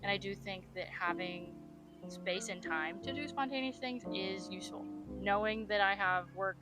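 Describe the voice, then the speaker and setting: A woman speaking frankly and casually in a relaxed chat, swearing now and then. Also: medium pitch, normal speed. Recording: music clearly behind the voice.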